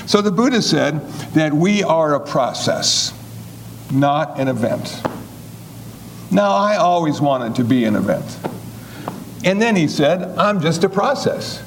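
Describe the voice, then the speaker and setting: A man in his seventies giving a relaxed, joking talk on Buddhist teachings in a folksy, conversational way. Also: on the high side, slow, a little hiss or hum. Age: 70s. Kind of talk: humorous dharma talk.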